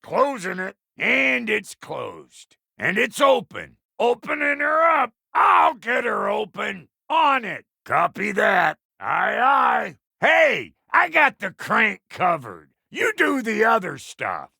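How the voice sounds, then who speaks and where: A man voicing a gruff, impatient, foul-mouthed dwarf blacksmith in a video game, with a gravelly, raspy voice and folksy, irreverent talk. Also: sits high, wildly swooping pitch, slow, hardly any pauses, bright, forceful tone, dry recording, studio quality, exaggerated voice. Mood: brisk, hollering. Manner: folksy, irreverent, colloquial grammar. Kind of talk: video game character dialogue.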